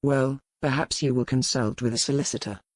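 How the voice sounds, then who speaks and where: A woman voicing an earnest male physician in a storybook, speaking formally and with measured courtesy. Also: extremely low pitch, fairly even intonation, breathy edge, dry recording, voice pushed down.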